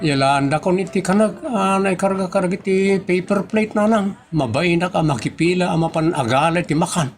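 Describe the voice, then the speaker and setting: Man acting bashful Ilocano husband, higher-pitched voice. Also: normal speed, few pauses, over music. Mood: nagging. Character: bashful, fussy husband in a rural family comedy. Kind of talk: Ilocano audio comedy drama acting.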